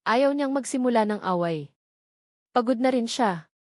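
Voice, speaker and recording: A woman's voice: on the high side, lively intonation, normal speed, no echo. Filipino woman narrating drama, dry close sound. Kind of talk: dramatic scripted story narration in mixed Tagalog and English.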